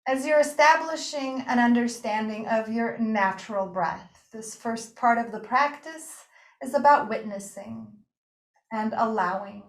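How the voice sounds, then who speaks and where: A woman teaching breathing and yoga nidra, guiding a relaxation practice with gentle, invitational phrasing and pauses. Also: fairly high pitch. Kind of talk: guided meditation and relaxation instruction. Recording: roomy, echoing room sound.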